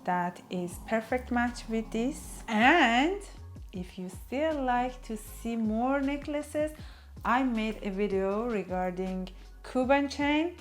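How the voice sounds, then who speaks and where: Slow, enthusiastic woman with a Persian accent. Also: on the high side, soft background music. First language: Farsi. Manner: enthusiastic, gushing, non-native phrasing. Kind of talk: casual product showcase.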